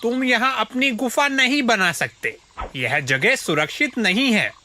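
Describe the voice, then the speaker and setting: A man's voice: very high voice, lively intonation, measured pace, pushed and bright, a little hiss or hum, exaggerated voice. A man voicing a cautioning elephant in a cartoon animal story. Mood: warning.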